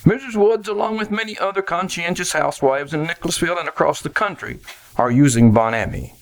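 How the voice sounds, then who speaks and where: An actor playing an earnest sponsor announcer in 1940s radio style, polished and salesmanlike. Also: male voice, on the high side, brisk, close to an everyday voice. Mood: upbeat, persuasive.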